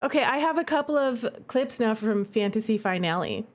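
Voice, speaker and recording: A woman streamer and game developer giving casual live commentary, chatty and expressive, often repeating herself for emphasis. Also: a little high, brisk.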